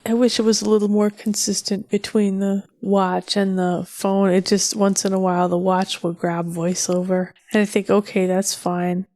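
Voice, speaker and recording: A woman's voice: medium pitch, flat delivery, normal speed, few pauses, darkish tone. A woman co-hosting a casual tech chat, speaking in a conversational, mildly exasperated way. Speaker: podcast co-host.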